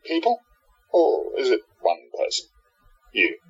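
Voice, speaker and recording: Male actor as irritable grieving shopkeeper hero, radio play, raspy, dramatic, slightly dull recording. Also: fairly high pitch, wildly swooping pitch, takes it slowly, full character voice. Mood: indignant. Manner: indignant, dramatic, self-justifying. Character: grieving, irritable, stubborn male bookshop keeper and adventurer.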